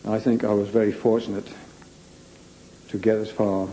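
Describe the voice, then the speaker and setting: A man giving unscripted personal testimony, halting and emphatic, repeating phrases for weight. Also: on the low side, flat delivery, mellow, slight background noise, rough recording. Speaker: interviewee giving a personal account.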